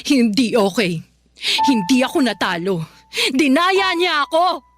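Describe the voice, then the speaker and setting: A stubborn middle-aged mother, high-pitched and sharply accusing. Her voice leaps all over as she scolds her daughter in a radio drama. Soft music plays faintly behind her. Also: animated, a little slow. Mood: indignant. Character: stubborn indignant mother sore about losing election.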